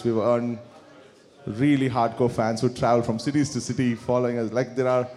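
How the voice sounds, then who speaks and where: Indian-accented man, mellow voice, hall reverb. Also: mid-range voice. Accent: Indian English. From South India. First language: Kannada. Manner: halting, many restarts and fillers. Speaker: musician guest. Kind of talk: interview answers. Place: large store event space.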